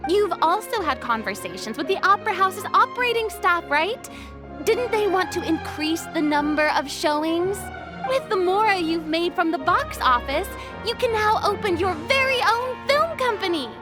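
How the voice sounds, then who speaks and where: An actress playing a lively, encouraging adult woman with an upbeat manner. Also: very high voice, moderate pace. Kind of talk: video game voice acting. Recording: game audio with music.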